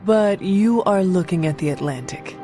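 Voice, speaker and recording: A woman's voice: fairly low pitch, lively intonation, normal speed, dark tone, soft background music, lightly acted. Earnest, formal warrior heroine voiced by a woman. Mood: matter-of-fact. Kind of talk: video game cutscene acting.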